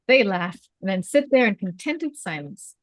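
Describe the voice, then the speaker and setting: A woman's voice: mid-range voice, sing-song, moderate pace, no echo. A woman reading stage directions aloud as scripted narration at a script table read.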